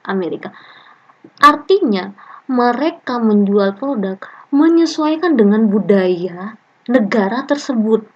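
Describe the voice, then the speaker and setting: A woman lecturer chats through an online class, reading out comments and joking along the way. Her voice sits at a middling pitch. The sound comes through a dull, lo-fi call line. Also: slightly rough. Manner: chatty, reads student comments aloud, jokes and laughs. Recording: muffled lo-fi online call audio.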